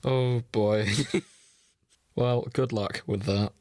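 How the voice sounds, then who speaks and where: Guy doing a casual podcast, mid pitched voice, jokey and irreverent, swears a bit, no room sound. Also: steady tone, drawn-out words, mellow, no room sound, clean studio sound. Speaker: podcast co-host. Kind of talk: casual banter.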